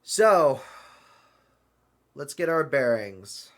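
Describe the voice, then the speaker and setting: A man acts out a flustered adventurer in an audio drama. His voice is bright and forceful, his pace unhurried, and he stumbles politely over his words as an excitable, apologetic traveler. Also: fairly high pitch, full character voice. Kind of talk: hobby audio drama dialogue.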